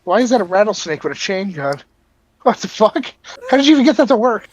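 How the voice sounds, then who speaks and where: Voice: mid-range voice, sing-song, fairly quick, dry recording, muffled recording. A speaker in casual banter, joking with mock disbelief and plenty of swearing.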